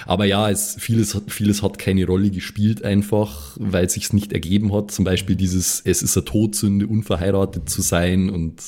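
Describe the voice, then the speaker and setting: Low-voiced man chatting casually. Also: flat delivery, moderate pace, hardly any pauses, mellow. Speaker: podcast co-host and role-player. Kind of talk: casual chat.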